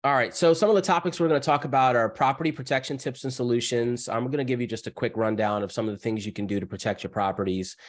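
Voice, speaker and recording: A man with a cold, sounding scratchy, presenting as the guest speaker on a webinar over a video call in a brisk, upbeat way. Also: mid-range voice.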